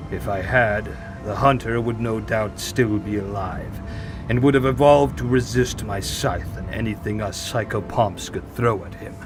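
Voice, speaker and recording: Man voicing a slow, low, sardonic giant reaper. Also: natural voice. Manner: drawling, dryly polite. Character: unhurried male giant reaper deity, sardonic, mock-courteous. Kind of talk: dramatic audio story dialogue. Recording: music under the voice.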